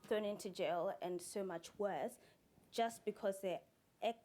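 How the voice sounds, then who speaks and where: Woman from Zimbabwe, human rights panellist, composed, heartfelt, middle pitch. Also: a little slow, a little mellow. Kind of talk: panel discussion answer.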